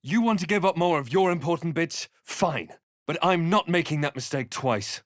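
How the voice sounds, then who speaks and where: Feisty fantasy companion for a game, man acting: sardonic, lively swings in pitch, dry recording. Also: normal speed, dry recording, natural voice. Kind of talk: scripted game character lines.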